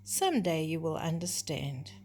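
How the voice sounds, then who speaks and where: A woman's voice: deep voice, sing-song, unhurried, darkish tone, exaggerated voice. A woman voicing a protective mother deer in a children's storybook, soothing and earnest. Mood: grave. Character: gentle, protective mother deer, warning and explaining.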